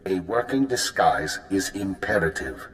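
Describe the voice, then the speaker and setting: An actor playing a composed intelligence handler giving a mission briefing in a video game, formal and clipped. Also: male voice, low-pitched, lively intonation, unhurried, a little hiss or hum, poor audio. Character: cool, precise male intelligence handler.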